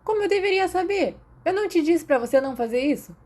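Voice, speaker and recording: Woman putting on a cheeky Brazilian teenage boy, swooping pitch. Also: animated, fast talker, darkish tone. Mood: blunt, exasperated. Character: cheeky, teasing teenage boy, an imaginary friend. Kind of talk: audiobook character dialogue.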